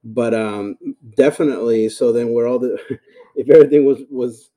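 Male podcast guest, forties, from El Salvador, very mellow dark tone, halting, reflective, courteous. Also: medium pitch, low-quality recording. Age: 40s. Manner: halting, thoughtful, polite. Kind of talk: reflective conversational interview.

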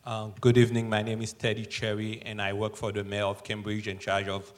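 Lower-pitched man from Haiti, polite audience questioner. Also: monotone, fairly quick. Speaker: audience member asking a question.

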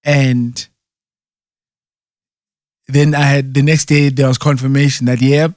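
A man's voice: medium pitch, level tone, slow, darkish tone, dry recording, studio quality. A man in casual chat who rambles, restarts often and keeps checking in with "right?". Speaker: conversation partner.

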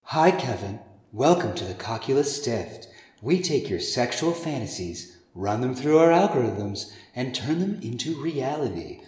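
Man playing patient virtual-reality machine operator, mellow, echoey. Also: medium pitch, expressive, a little slow, natural voice. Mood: obliging. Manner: patient, matter-of-fact customer-service delivery. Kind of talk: comedy radio play acting. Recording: roomy echo.

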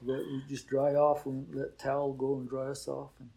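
An older man, a boarding-school teacher, talking in a relaxed, reflective way in an interview, with frequent "you know" fillers. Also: medium pitch, moderate pace, creaky, over a noisy crowd, rough recording. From Oklahoma.

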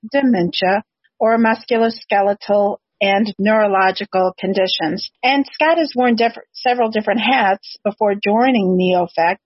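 A woman with a husky voice presents as a podcast host, in the manner of prepared introductions and interview questions. The recording is dry and close, with a slightly dull, lo-fi sound. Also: mid-range voice, no echo. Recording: dull, lo-fi, slightly muffled audio.

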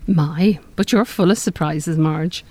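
A refuge resident in a radio drama, with a fairly low voice. She is dry and teasing, quick to question. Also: darkish tone. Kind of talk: live radio play acting.

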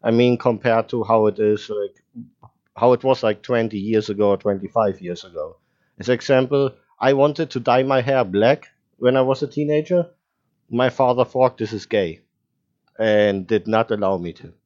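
A man with a mid-pitched voice hosts a casual podcast chat. He phrases things loosely and hesitantly. The recording is slightly dull and lo-fi. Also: normal speed, darkish tone. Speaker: podcast host.

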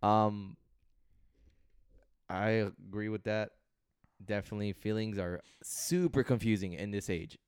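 A young man talks easily on a podcast, slangy and relaxed, dropping "you know" all through. His voice sits low and comes through clear and resonant. The sound is close and dry. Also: unhurried, no room sound. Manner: laid-back, slangy, lots of "you know". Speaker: podcast co-host. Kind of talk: casual chat.

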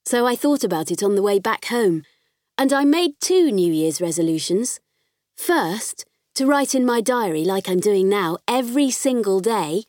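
A woman playing a spirited, candid farm girl, narrating her diary in an expressive, conversational audiobook style. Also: medium pitch, normal speed, no echo, studio quality, close to an everyday voice. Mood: resolute.

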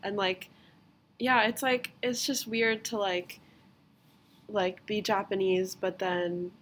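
A woman's voice: mid-range voice, fairly even intonation, slow pace, fairly bright tone, a little hiss or hum. A young woman in her twenties from the United States, hosting a casual podcast chat and filling her talk with "like". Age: 20s. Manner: says "like" constantly, loose and chatty. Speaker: podcast host.

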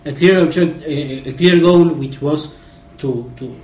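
Halting man, far from the mic. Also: a little high, a little mellow, reverberant. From Argentina. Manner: halting, many fillers and restarts. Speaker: podcast guest. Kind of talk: casual football podcast chat.

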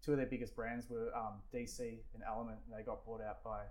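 A man's voice: mid-range voice, little pitch movement, fast talker, darkish tone, a little hiss or hum, poor audio. A man in his forties with an Australian accent, telling stories in casual chat, rambling with many fillers and restarts and swearing freely. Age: 40s. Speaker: guest. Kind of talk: casual storytelling.

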